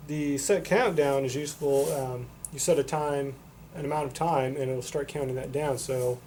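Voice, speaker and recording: A man's voice: mid-range voice, steady tone, moderate pace, darkish tone. A man giving a casual, unscripted product demonstration in a matter-of-fact, explanatory way. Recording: noticeable background noise.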